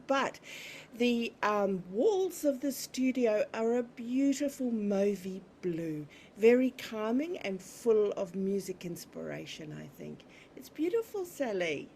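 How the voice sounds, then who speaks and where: A woman's voice: on the high side, darkish tone, slight background noise. A woman hosting a podcast interview over a video call, effusive and lavishing praise on her guest. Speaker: podcast host.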